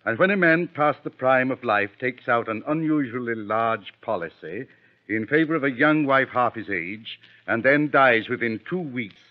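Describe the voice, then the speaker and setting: An actor playing a formal, measured insurance director in an old-time radio drama. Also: male voice, mid-range voice, expressive, natural voice. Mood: businesslike. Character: businesslike male insurance company director. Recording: tinny old radio recording.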